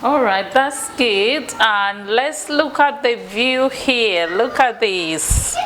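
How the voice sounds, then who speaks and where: A woman's voice: a little high, slow, fairly bright tone. A woman from Uganda hosting a casual vlog tour, chatting straight to her viewers and repeating herself as she goes. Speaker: video host. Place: high up on a sixth-floor block of flats. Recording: noisy ambient vlog sound.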